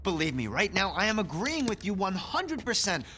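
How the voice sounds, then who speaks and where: A man's voice: high-pitched, big pitch swings, normal speed, bright, forceful tone, slight background noise, full character voice. A man playing a nervous, ingratiating corporate executive in a video game cutscene, wordy and placating. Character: nervous, smooth-talking corporate executive bargaining for life.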